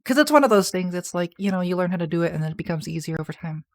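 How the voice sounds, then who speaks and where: A man with a soft, feminine-sounding voice, chatting casually on a livestream and answering viewer messages in a rambling, soft-spoken way. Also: high voice, animated, rapid-fire. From Canada. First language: English. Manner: soft-spoken, rambling, with restarts and small laughs. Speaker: streamer.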